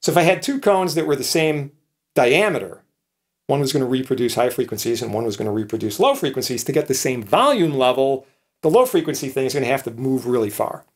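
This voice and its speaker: A man's voice: mid-range voice, expressive, quick pace. A man in his sixties, a professor explaining things informally, in a conversational way with frequent checking tag questions. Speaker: professor, lecturer. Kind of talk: informal explanatory electronics lecture.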